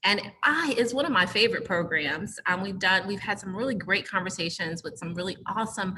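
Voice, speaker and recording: A woman hosting a library entrepreneur talk, enthusiastic and quick to laugh. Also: medium pitch, bright, forceful tone, rough recording. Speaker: program host. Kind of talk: hosting an informal conversation.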